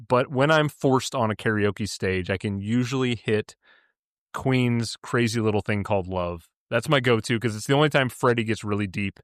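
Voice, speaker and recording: A man in his thirties with a deep voice, a guest talking in casual chat. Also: normal speed, no room sound, clean studio sound. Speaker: podcast guest.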